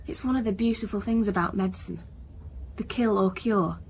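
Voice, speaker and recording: A woman's voice: medium pitch, moderate pace, a little mellow, husky, low-quality recording. A woman playing an earnest, defensive research scientist in a radio crime drama, precise and lecturing in manner. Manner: precise, lecturing, slightly superior.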